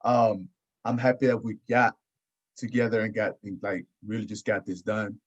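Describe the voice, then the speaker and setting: Male podcast host, lower register, casual and repetitive phrasing, muffled low-grade audio. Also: little pitch movement, normal speed. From Houston area, United States. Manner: repeats his phrases. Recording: dull, lo-fi audio.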